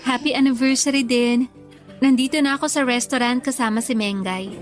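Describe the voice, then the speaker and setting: A young girlfriend in a radio drama, played by an actress, speaks in a high female voice. She sounds resigned and a little sulky, talking naturally over soft music. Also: fairly even intonation, brisk, lightly acted. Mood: resigned, polite. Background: background music.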